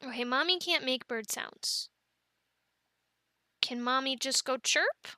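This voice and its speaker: A woman playing an affectionate, playful young mother in an ASMR family roleplay, talking gently and haltingly to her children. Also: high-pitched, dry recording, studio quality, full character voice. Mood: playful, bemused. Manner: gentle, halting, soft-spoken with playful bursts.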